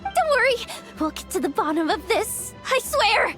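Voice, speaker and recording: A woman's voice: extremely high pitch, sing-song, moderate pace, a bit bright, faint music behind, full character voice. A woman playing a frazzled, anxious young guild organizer in a video game, fretful and dramatic. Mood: forced reassurance. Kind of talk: video game character acting.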